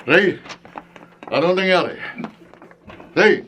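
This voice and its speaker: A man's voice: high-pitched, slow pace, fairly bright tone, a little hiss or hum. A man playing a middle-aged father of grown daughters in a radio drama, concerned and sceptical, reasoning and questioning. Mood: alarmed. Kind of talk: Filipino radio drama acting.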